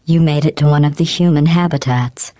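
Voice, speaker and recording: Smug robotic lady ai running tests, low and silky, dead dry studio sound. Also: brisk, no room sound. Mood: sardonic. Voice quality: smooth, deadpan, condescending. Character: cold sarcastic female AI running test facility. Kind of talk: video game voice clips.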